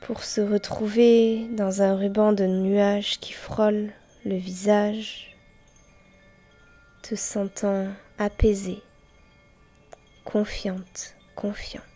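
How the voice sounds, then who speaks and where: Female meditation guide: mellow, breathy and gentle, very unhurried delivery, soft chimes and music underneath. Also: medium pitch, flat delivery. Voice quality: soft, breathy, often near a whisper. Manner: gentle, unhurried, with long pauses between short phrases. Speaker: guided meditation teacher and host. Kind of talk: guided yoga nidra meditation, slow and soothing.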